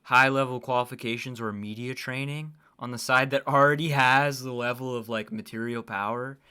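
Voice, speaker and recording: A man giving casual, opinionated livestream commentary as he reacts to a video, blunt and quick to swear. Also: medium pitch, fairly even intonation, bright, forceful tone, clean tone.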